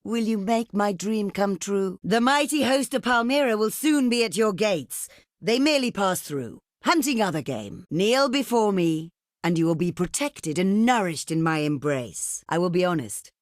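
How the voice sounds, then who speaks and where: Casting: actress as proud desert queen, video game. Theatrical, haughty, courtly diction, very animated intonation, dry close studio sound. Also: medium pitch, moderate pace, no room sound, natural voice. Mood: commanding, persuasive. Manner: haughty, theatrical, formal and ornate phrasing. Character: proud, imperious ancient desert queen, scornful and grandly courteous. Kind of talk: video game diplomacy voice lines.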